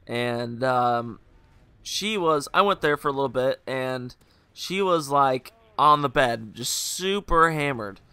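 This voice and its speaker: Bright, crisp-voiced young guy, hungover. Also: medium pitch, expressive, slow pace, no echo. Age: young adult. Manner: rambling, joking, full of fillers and asides. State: hungover, voice a bit rougher and lower than usual. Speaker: gaming video host. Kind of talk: casual storytelling over gameplay footage.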